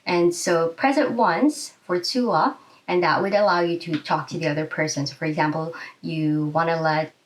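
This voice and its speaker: A woman's voice: low-pitched, fairly even intonation, moderate pace, far from the mic, poor audio. A young adult woman giving a casual step-by-step explanation to camera in a small indoor room. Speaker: video host and presenter. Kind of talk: informal instructional explainer to camera.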